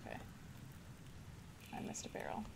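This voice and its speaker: A young woman casually commenting as she plays a video game at home, chatty and excitable, muttering to herself. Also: normal speed, poor audio. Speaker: gaming video host. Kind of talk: casual let's play commentary. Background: loud game music.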